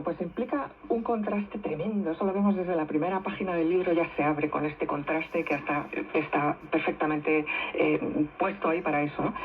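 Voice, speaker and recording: A woman's voice: fairly low pitch, phone-quality sound, a little hiss or hum. A woman, a novelist guest explaining history in a radio interview, often ending her points with tag questions. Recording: thin shortwave radio reception.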